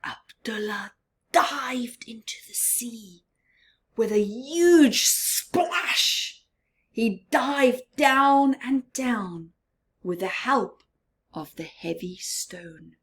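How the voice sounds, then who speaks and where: A woman reading a picture book aloud to young children, dramatic and expressive, with simple asides and questions to the listener. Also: a little high. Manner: slow, simple child-directed phrasing. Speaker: storyteller for children.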